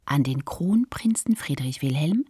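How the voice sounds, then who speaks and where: A woman making scripted radio play announcements of scenes and sources in a breathy, partly whispered voice. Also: sits low, lively intonation, unhurried, warm tone, no room sound, studio quality.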